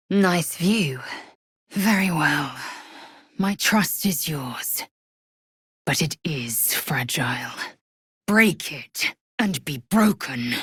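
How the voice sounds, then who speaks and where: A woman playing a proud, fierce githyanki warrior in a fantasy video game, with a husky, raspy voice and a clipped, imperious, formal delivery. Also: low voice, animated, drawn-out words, long pauses, fairly bright tone, no room sound, studio quality, exaggerated voice. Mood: stern.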